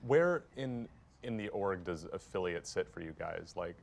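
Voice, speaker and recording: A man with a very low, deep voice steers a live roundtable. He asks quick, friendly questions, laughing easily between them. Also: expressive, vocal fry. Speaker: panel moderator. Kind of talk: conversational panel moderation.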